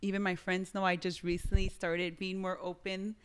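A woman's voice: lowish voice, flat delivery, moderate pace, clear, resonant tone. A woman, chatting on a podcast in a bubbly, giggly way and slipping into phrases. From East Los Angeles. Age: 20s. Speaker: podcast co-host. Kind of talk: casual chat. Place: living room, on a couch. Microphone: handheld mic.

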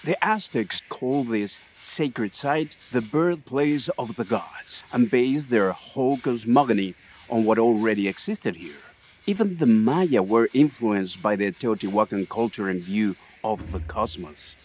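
A man playing an earnest shaman and history teacher in an audio drama, with a measured, mystical manner. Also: on the low side, expressive, a little mellow, a little hiss or hum. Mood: earnest, proud.

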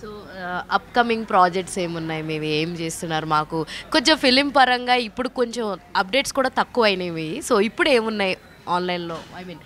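A woman's voice: medium pitch, animated, a little fast, a bit bright. Young woman interviewing, faint background noise. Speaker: interviewer, reporter. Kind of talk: casual on-camera interview. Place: small decorated restaurant room. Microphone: handheld microphone pointed at guest.

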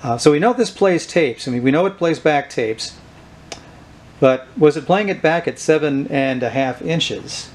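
A man hosting a casual hands-on demonstration, explaining things in an unhurried, informal way. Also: fairly high pitch. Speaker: host of a vintage electronics video. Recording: clear steady background noise.

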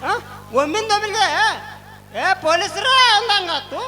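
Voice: extremely high pitch, measured pace, bright, forceful tone, soft background music, full character voice. An actor playing a puzzled junior constable who half-laughs.

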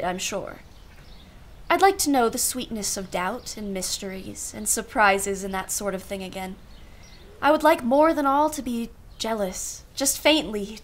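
A woman's voice: mid-range voice, lightly acted. A woman playing a wry, genteel aunt in a radio play, musing in an arch, formal way. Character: wry, genteel aunt, self-professedly unsentimental.

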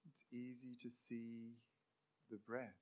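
A man who teaches meditation, guiding a sitting practice calmly and gently, in short phrases with long pauses. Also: mid-range voice. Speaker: meditation teacher, Buddhist monk. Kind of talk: guided meditation.

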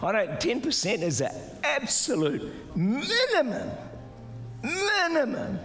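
Older pastor preaching in a reverberant hall. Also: male voice, huge pitch swings, slow. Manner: exhorting, rising to shouts, mimics doubters in a higher voice. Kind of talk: sermon. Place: large church auditorium with stage. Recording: live church hall reverb with music. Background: soft music and congregation responses.

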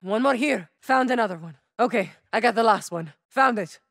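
A fierce, terse soldier. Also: female voice, moderate pace, vocal fry, no room sound, studio quality. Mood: steady, focused. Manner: terse combat callouts, shouted warnings and low calm lines. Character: fierce young female soldier operator. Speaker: playable game operator. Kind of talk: video game voice lines.

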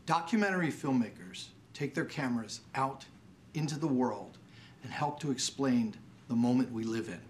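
Male presenter in his 60s, scripted delivery, mid pitch, faint background noise. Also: animated, normal speed. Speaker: awards show presenter. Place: indoor studio set.

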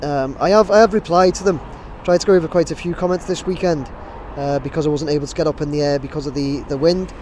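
A man's voice: a little high, fairly even intonation, quick pace, darkish tone, slight background noise. A man in his thirties vlogging to a handheld camera on a city street, talking casually with lots of fillers and restarts. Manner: rambling, many fillers and restarts. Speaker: drone videographer. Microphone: camera held at arm's length.

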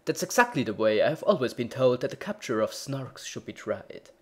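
A man with a husky voice voicing an impatient, bossy ship's bellman who exclaims loudly and hastily, in a storybook reading. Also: medium pitch, very animated, brisk, lightly acted. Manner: loud and hasty, exclaiming.

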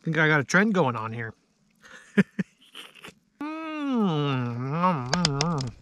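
A man's voice: on the high side, big pitch swings, takes it slowly, pushed and bright, slight background noise. A man in his thirties vlogging casually at a snowy night campsite, savoring food and chatting to viewers. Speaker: outdoor vlogger. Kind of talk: casual chat to camera.

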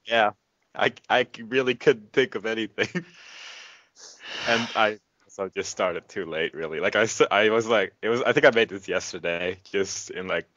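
A man from the Netherlands chatting casually and haltingly, on a slightly dull recording. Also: on the low side, a bit bright, no room sound. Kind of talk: casual chat.

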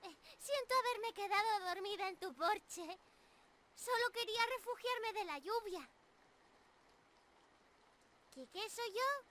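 A woman voicing a young squirrel monster girl in an anime-style ASMR roleplay, excitable, ditzy and stammering. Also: very high voice, takes it slowly, pauses often, noisy background, full character voice. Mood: sheepish. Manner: bubbly, excitable, stammering, giggly.

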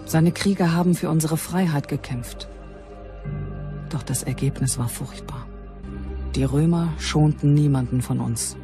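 Grave Germanic priestess, over music. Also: female voice, low voice, vocal fry. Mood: grieving, bitter. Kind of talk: dramatised first-person documentary narration. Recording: music behind the voice.

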